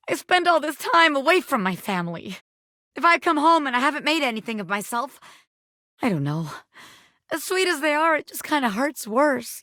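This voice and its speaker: Cocky lil pin gangster boss for a video game, highish voice, swings all over, brash but soft underneath. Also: female voice, no room sound, studio quality, exaggerated voice. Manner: brash, bossy tough talk with a soft spot. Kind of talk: video game character voice acting.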